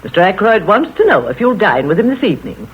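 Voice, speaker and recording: An actress playing a nosy, opinionated, gossipy spinster sister in a vintage radio drama. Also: female voice, mid-range voice, sing-song, fast talker, husky. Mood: nosy, bustling. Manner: pointed, prying, opinionated. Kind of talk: 1930s-40s radio drama acting. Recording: muffled vintage radio recording.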